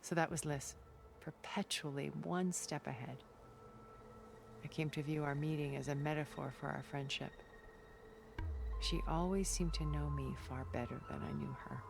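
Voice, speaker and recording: An actress playing a composed, secretive older woman in a video game, speaking in a measured, formal, articulate way. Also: deep voice, steady tone, vocal fry, background noise. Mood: reflective, wistful. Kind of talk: dramatic video game dialogue. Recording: background music under the voice.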